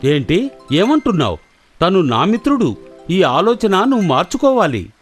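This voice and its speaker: A simple, mild crocodile husband from a kids' cartoon. The voice sits fairly low and moves about expressively, over faint music. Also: female voice, big pitch swings, normal speed. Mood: earnest. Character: gullible, mild-mannered male crocodile husband. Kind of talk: children's cartoon voice acting.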